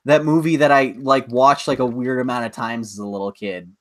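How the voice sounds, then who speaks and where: A man talks casually as a podcast co-host. He rants in a loose, sweary way, piling up filler words, at an ordinary middle pitch. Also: steady tone, brisk, fairly bright tone. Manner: ranting, sweary, filler-heavy. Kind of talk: casual chat.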